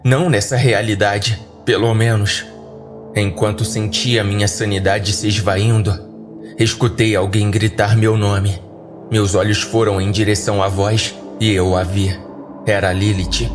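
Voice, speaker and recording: A man's voice: lowish voice, level tone, unhurried. A man with a Brazilian accent narrating a scripted horror story dramatically for a podcast. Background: background music.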